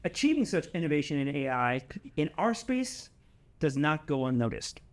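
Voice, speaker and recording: Male corporate presenter, slightly high register, scripted announcement read, emphatic, with key phrases repeated for weight. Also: big pitch swings, normal speed. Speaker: company presenter.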